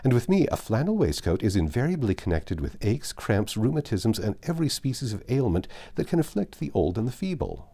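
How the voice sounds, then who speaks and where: Passionate seventeen-year-old period heroine , played by a male narrator, emphatic, highly animated, soft-edged tone. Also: fairly quick, hardly any pauses. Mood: indignant, emphatic. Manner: earnest, emphatic, formal period speech.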